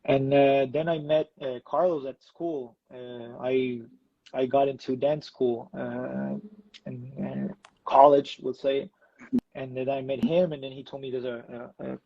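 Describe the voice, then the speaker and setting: A man's voice: medium pitch, steady tone, unhurried, gravelly, dry recording, muffled recording. A man from Ecuador, an electro dancer, talking casually in an interview with many fillers and restarts.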